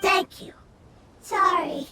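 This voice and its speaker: A woman voicing a tiny, excitable female fairy companion with a squeaky, chirpy voice in a video game. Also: slow pace, very noisy. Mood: worried. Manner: exclaiming, eager. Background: game music.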